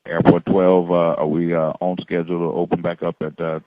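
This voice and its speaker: Low flat-voiced man on tower radio. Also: fairly quick. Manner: clipped radio phraseology with fillers. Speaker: air traffic controller. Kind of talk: radio traffic calls. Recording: muffled radio transmission with static.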